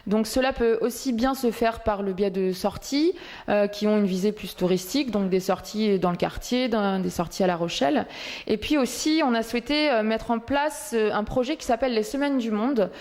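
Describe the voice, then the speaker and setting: A woman explaining as a social centre presenter in interview style, pausing to find her words. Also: on the high side, a little mellow.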